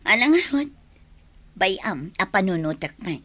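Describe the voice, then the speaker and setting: A woman's voice: mid-range voice, very animated, measured pace, a bit bright. A woman acting a village woman in a radio drama, speaking with an Ilocano accent. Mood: cautious, courted. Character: village woman named Marina, wary of a suitor's drinking.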